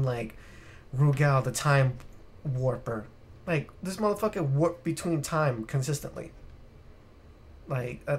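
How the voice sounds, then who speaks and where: A man's voice: on the high side, a little hiss or hum. A man co-hosting a casual video game podcast on a live stream, ranting bluntly with plenty of swearing. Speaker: podcast co-host.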